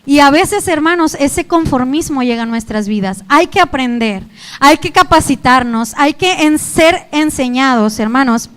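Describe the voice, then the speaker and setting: High-voiced Mexican woman preaching earnestly. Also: lively intonation, moderate pace, fairly bright tone. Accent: Mexican Spanish. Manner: earnest, repeatedly addressing the congregation. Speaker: youth pastor. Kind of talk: sermon.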